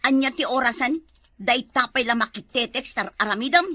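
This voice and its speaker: A woman's voice: a little high, normal speed, sharp tone, no echo, clean studio sound. A woman playing a suspicious, nagging wife in a comedy drama, scolding and questioning her husband. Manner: scolding, interrogating.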